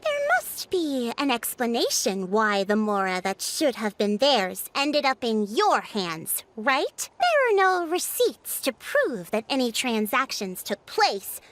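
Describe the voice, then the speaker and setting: A woman voicing a composed, astute young female chief in a video game, explaining things in a measured, authoritative way. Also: high voice, sing-song, fairly bright tone, full character voice. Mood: stern, probing.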